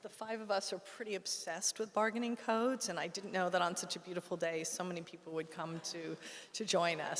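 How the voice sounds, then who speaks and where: A woman speaking as an expert on a conference panel, explaining in an informal, direct way. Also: lowish voice. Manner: explanatory, informal, occasional fillers. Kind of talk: conference talk. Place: conference hall. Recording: echoey conference hall.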